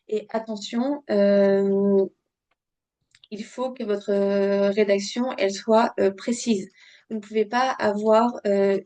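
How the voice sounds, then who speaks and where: A woman presenting an explanatory webinar in a didactic way, addressing listeners directly, with a halting delivery full of filled pauses. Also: medium pitch, mellow. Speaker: webinar presenter on local government law.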